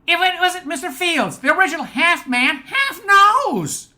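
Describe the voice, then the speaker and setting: A cheeky wooden dummy boy acted out by a woman in a radio comedy sketch. The voice is pitched up high and bright, with an impudent, taunting manner. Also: animated, a little slow, full character voice.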